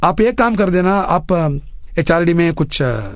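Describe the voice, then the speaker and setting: A man in his fifties from India speaks as an interview guest in a fairly high voice. He tells his story earnestly, in deliberate phrases sprinkled with English, and the studio sound is dry. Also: animated, dry recording. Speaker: interview guest, bank general manager. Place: radio studio.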